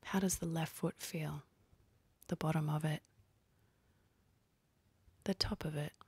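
Breathy, low-pitched woman guiding meditation. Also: no echo. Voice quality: soft, breathy, husky. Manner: calm, gentle, unhurried instructing with long pauses. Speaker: meditation guide. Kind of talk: slow guided meditation.

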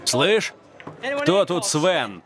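A man's voice: fairly high pitch, very animated, extremely slow, over music, full character voice. A man voicing a cheeky, defensive working-class young man with slangy speech in a Russian TV drama dub. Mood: suspicious. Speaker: dubbing actor.

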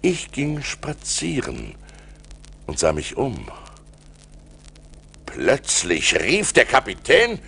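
Seasoned seafarer, dignified storyteller, gravelly creak, slow expressive delivery, radio play. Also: male voice, mid-range voice, full character voice. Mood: storytelling. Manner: deliberate, expressive. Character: wealthy seasoned seafarer recounting his adventures, dignified.

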